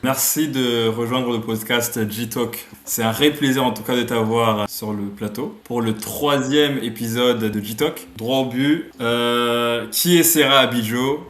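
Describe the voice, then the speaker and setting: A man's voice: medium pitch, measured pace, few pauses, a bit bright, roomy sound. A young man hosting a podcast, asking questions in a hesitant way with many fillers and restarts. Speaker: podcast host. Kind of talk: interview questions.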